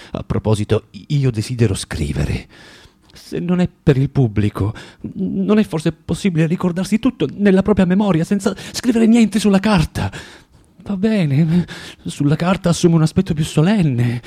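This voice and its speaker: A bitter, tormented man of forty delivering a theatrical radio monologue. His husky voice sits a little high for a man and leaps widely in pitch. He stammers over repeated words, contradicts himself spitefully and moves between muttering and outbursts. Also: expressive, normal speed, dark tone. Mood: restless, confessional.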